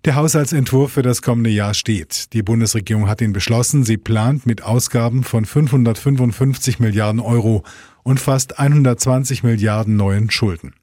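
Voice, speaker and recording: A man's voice: mid-range voice, normal speed, warm tone, dry recording, studio quality. A man reading scripted radio news as the anchor, in standard German.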